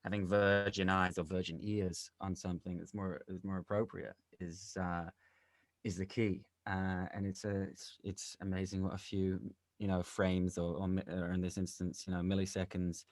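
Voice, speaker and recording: Deep-voiced man, dry close sound. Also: little pitch movement, normal speed, dry recording. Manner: repeats phrases while searching for words. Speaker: audio drama director. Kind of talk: casual interview discussion.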